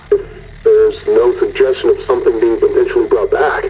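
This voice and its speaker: An actor playing a weary, candid corporate mission controller, husky, in a radio message that mixes official briefing with wry confiding, in a sci-fi audio drama. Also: male voice, mid-range voice, fairly even intonation, measured pace, background noise. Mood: confiding, exasperated.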